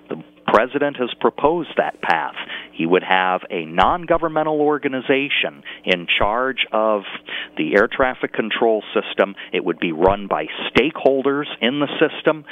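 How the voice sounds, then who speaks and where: An American man speaking as an interview guest, explaining and advocating in a measured, articulate way. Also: mid-range voice. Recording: phone-like narrow-band radio call-in line.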